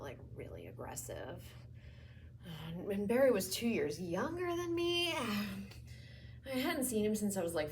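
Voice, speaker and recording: A woman playing a guilt-ridden younger woman in a confessional stage monologue, hesitant and subdued, slipping into breathy hush. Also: mid-range voice, slight background noise. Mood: helpless, subdued.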